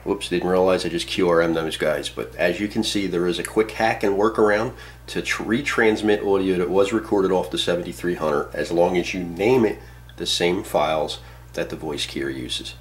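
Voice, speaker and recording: A man's voice: sits low, normal speed, slight background noise. A man talking casually through a demonstration, explaining plainly step by step. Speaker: tutorial presenter, amateur radio operator. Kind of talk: casual spoken how-to demonstration. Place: indoors at a radio and computer desk.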